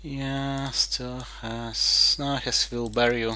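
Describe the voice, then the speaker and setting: A man playing a strategy game and thinking aloud in terse, halting remarks, muttering to himself as he weighs his moves. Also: mid-range voice, flat delivery, bright, forceful tone, clear, resonant tone, rough recording. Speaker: board game player.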